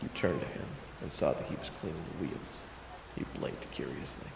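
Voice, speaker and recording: Very deep, echoing male story narrator. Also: normal speed, vocal fry, background noise. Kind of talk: scripted second-person story narration. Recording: reverberant, echoey voice over music.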